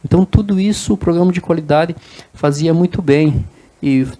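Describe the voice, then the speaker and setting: Warm, explaining southern Brazilian guest. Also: male voice, on the high side, normal speed. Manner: explanatory, frequent "né?" tags and repeated words. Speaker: interview guest, business association president. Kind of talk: conversational interview.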